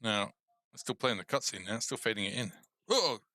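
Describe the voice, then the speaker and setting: A man's voice: on the low side, expressive, moderate pace, sharp tone, no echo. A man casually thinking aloud as he hosts a game coding tutorial livestream. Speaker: game development tutorial host.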